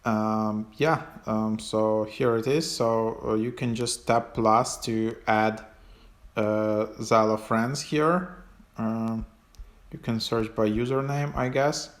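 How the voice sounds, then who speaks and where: A man giving a casual, unscripted app walkthrough as a tutorial host, in a hesitant, halting way with trailing phrases. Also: on the low side, fairly even intonation.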